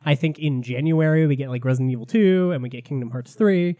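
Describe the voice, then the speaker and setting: A man hosting a casual gaming podcast, a little sick and raspy, chatty and loose, laughing often. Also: mid-range voice, quick pace, mellow. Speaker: podcast host.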